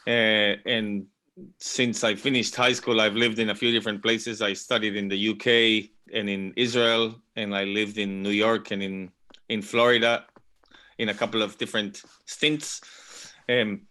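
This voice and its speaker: A middle-aged man from Venezuela, heard over a video call. His voice is bright and clear. He speaks thoughtfully and circles back with repeated words. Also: lowish voice, little pitch movement, measured pace. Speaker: panel guest. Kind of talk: online panel talk.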